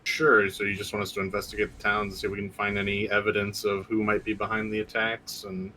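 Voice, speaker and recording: A man's voice: on the low side, monotone, quick pace, pushed and bright, low-quality recording. A man playing in a tabletop game, improvising his lines in a casual, hesitant way.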